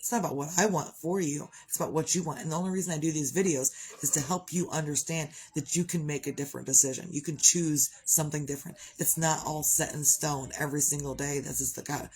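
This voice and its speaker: A woman with a congested voice who keeps clearing her throat, talking on a live video in a chatty, upbeat, rambling way about gratitude and her morning. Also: deep voice, fairly even intonation, fast talker, hardly any pauses, darkish tone, a little hiss or hum, poor audio. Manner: chatty, upbeat, wanders off topic. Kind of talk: rambling motivational chat.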